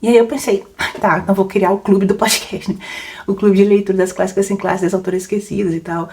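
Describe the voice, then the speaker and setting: A woman's voice: medium pitch, fairly even intonation, quick pace, a little mellow. A young adult woman, a book podcaster rambling casually through questions, laughing often and full of fillers. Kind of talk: casual Q&A chat.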